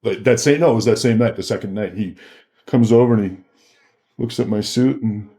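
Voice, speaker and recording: A man's voice: lowish voice, a little fast, warm tone. A middle-aged man with an American accent telling anecdotes casually as a podcast guest, acting out bits of dialogue.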